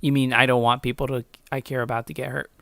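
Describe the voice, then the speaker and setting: A man's voice: mid-range voice, flat delivery, quick pace, creaky, bright, forceful tone, dry recording. A young man chatting casually and informally as he hosts a reaction video and reads comments, giggly and self-deprecating. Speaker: YouTube host reacting to own story.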